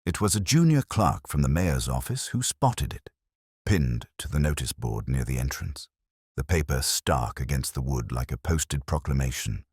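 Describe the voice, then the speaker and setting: A man narrating a scripted mystery story in a measured, formal way. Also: rumbling low, sing-song, darkish tone, husky, dry recording, studio quality.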